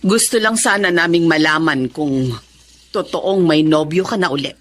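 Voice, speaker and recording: A woman's voice: low-pitched, moderate pace. An actress playing a worried, reproachful middle-aged mother and grandmother in a Filipino radio drama, with a Filipino accent. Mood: concerned. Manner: reproachful, fretful.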